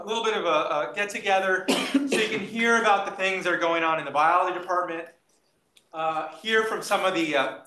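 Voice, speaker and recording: A man opening an event with halting, joking remarks full of fillers. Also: high-pitched, sharp tone. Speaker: event organizer. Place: lecture room. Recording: roomy with faint noise.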